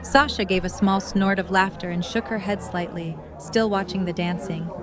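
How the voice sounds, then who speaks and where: A woman's voice: mid-range voice, fairly even intonation, normal speed. A woman reading scripted story narration as the narrator of an audio drama. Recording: music and crowd chatter behind.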